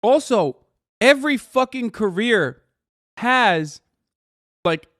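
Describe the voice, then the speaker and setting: A man's voice: extremely high pitch, lively intonation, takes it slowly, fairly bright tone, no echo, studio quality. A man streaming, reacting to clips with casual, exasperated, profane commentary. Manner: exasperated, sarcastic, swears a lot.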